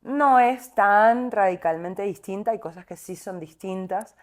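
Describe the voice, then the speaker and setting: A woman's voice: mid-range voice, a little slow. A young woman from Caracas with a Venezuelan accent, a singer talking reflectively as an interview guest, with fillers and restarts. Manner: thoughtful, winding, many fillers and restarts.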